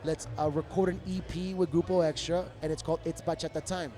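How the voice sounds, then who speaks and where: A man talks at a fast clip in a fairly high voice, loose and energetic, full of fillers. He chats casually as a guest at an outdoor dance event. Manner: quick, energetic, lots of fillers. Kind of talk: casual interview chat.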